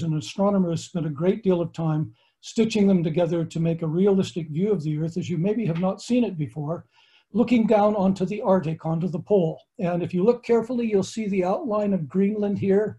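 An older man with an American accent talks through a slideshow from his book-lined study. His voice sits fairly high for a man yet sounds mellow and warm, and he explains things at an even, friendly pace. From United States. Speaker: astronomer and tour lecturer. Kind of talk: online slideshow lecture. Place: home study with bookshelves.

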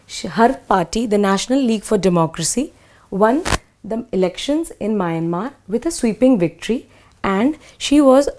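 A woman in her thirties with an Indian English accent, clearly and methodically explaining a school English lesson. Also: a little high, darkish tone. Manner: clear, methodical, spells words out. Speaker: English teacher. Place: small studio in front of a whiteboard.

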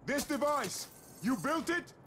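A man's voice: very high-pitched, big pitch swings, unhurried, background noise, heavily acted. A man acting a firm, earnest soldier leader in a video game cutscene. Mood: curious, reserved.

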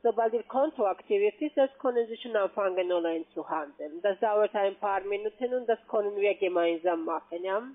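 A woman's voice: mid-range voice, fairly quick, hardly any pauses. Insistent non-native woman on muffled phone. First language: not German. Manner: insistent, broken grammar. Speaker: scam call centre agent. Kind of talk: cold-call sales pitch. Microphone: telephone. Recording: muffled narrowband phone line.

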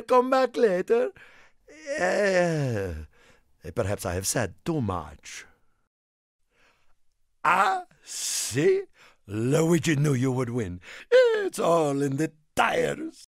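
An actor playing an excitable, friendly little Italian car in a video game, with an Italian accent and a theatrical, effusive manner. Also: male voice, sits high, vocal fry, no room sound, clean studio sound, full character voice. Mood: cheerful, encouraging. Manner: theatrical, effusive, speaks of himself in third person. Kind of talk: video game character voice lines.

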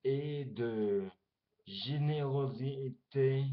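A man reciting his own poem as an amateur poet, haltingly, phrase by phrase with long pauses. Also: mid-range voice. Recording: dull lo-fi amateur recording.

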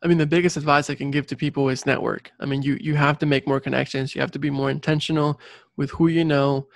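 Young man with a creaky voice. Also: mid-range voice, fairly even intonation, fast, no room sound. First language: Spanish. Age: late 20s or 30s. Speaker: interview guest, civil engineer and career coach. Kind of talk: casual interview talk.

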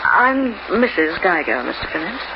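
A woman's voice: on the low side, big pitch swings, normal speed. A woman playing a coy, scheming wife with polite, dramatic speech, in an old-time radio noir style. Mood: polite, eager. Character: coy, scheming banker's wife, femme fatale. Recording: noisy, muffled old radio recording.

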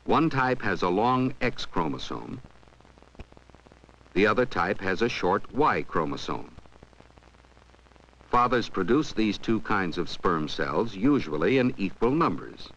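A man reading scripted educational film narration in a measured, explanatory way. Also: fairly low pitch, big pitch swings, fairly bright tone. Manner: measured, explanatory, poses questions to the viewer. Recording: dull 1970s 16mm film soundtrack.

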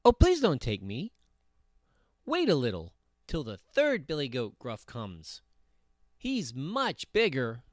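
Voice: mid-range voice, wildly swooping pitch, slow, pauses often, dry recording, clean studio sound. A storyteller voicing a polite, middle-sized billy goat who pleads in a voice that is not so small. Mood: polite pleading.

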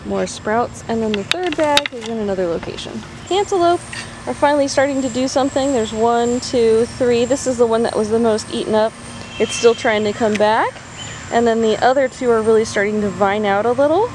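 A woman's voice: fairly high pitch, normal speed, background noise. A woman vlogger giving a casual walking tour of her backyard vegetable garden, pointing out plants one by one, filmed on a handheld camera outdoors. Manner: chatty, pointing things out plant by plant. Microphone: handheld phone camera, filming while walking.

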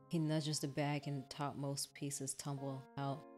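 A woman acts out a terrified victim narrating a horror visual novel. The voice is low, halting and fearful, heavy with guilt, over clear background music. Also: level tone, a little mellow. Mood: horrified.

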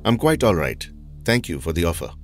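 Very deep, courteous male psychiatrist. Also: sing-song, fairly quick, faint music behind. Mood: calm, courteous. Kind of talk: audio drama acting.

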